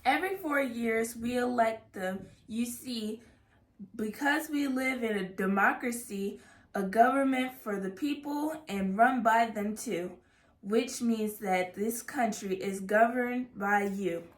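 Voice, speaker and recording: A young American woman reading a children's book aloud in a careful, measured way, in an echoey room. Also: on the high side. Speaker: student intern and co-reader. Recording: echoey, roomy sound.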